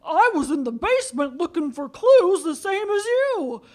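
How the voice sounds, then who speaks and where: A woman's voice: very high-pitched, very animated, moderate pace, a little mellow. A woman playing a flustered, defensive, stammering suspect in a live 1940s-set radio play. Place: theatre stage before a live audience.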